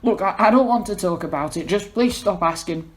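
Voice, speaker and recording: Man acting a distressed, high-strung young man. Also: a little fast, close to an everyday voice. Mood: shaken, anxious. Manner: stammering, pleading, swearing. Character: distressed, tearful young man, pleading then defiant, sulky. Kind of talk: amateur dramatic reading of a story.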